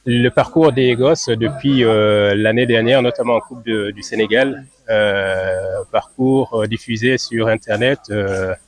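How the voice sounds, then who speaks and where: A man who grew up in France, answering interview questions as a football club president. He speaks in a measured way, pausing often on "euh". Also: fairly low pitch. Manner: measured, many hesitations on "euh".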